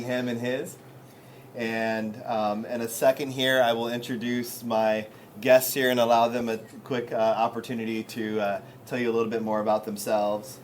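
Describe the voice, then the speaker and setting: A man hosting a community panel discussion, speaking with many pauses and fillers. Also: medium pitch, slight background noise. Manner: hesitant, many ums and uhs. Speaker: host.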